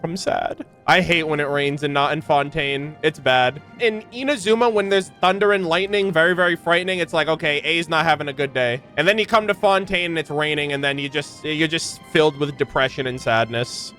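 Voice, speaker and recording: A man streaming casual reaction commentary to his chat, enthusiastic and expressive, with plenty of slang. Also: a little high, fairly quick, few pauses, soft background music. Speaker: streamer reacting to game voice lines.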